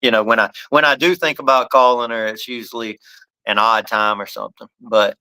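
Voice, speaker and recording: A man's voice: lowish voice, steady tone, brisk, sharp tone, smooth, rough recording. A man hosting a casual live-stream chat, laughing often and talking in an easygoing, upbeat way. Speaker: host.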